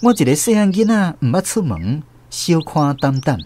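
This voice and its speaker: A man's voice: fairly high pitch, sing-song, normal speed, darkish tone. A man playing a storyteller who recalls his naive country boyhood, narrating a radio drama with a Taiwanese accent. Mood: reflective.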